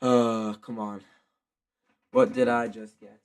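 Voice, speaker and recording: A man with a stuffy, congested voice doing live basketball play-by-play with casual, excitable reactions. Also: on the low side, takes it slowly, clear, resonant tone. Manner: excitable, shouts on big plays. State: congested from a cold. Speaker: live play-by-play commentator and streamer.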